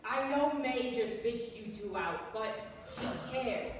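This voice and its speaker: A young woman acting a gruff, kindly, foul-mouthed show worker on stage with blunt delivery. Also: a little high, slow pace. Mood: reassuring. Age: young adult. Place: large hall, live stage performance. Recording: echoey hall from far off, faint noise.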